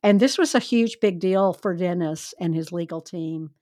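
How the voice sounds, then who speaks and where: A woman hosting a true crime podcast, telling the case in a chatty, animated, wry way. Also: on the low side, moderate pace, no echo, studio quality. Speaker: podcast host.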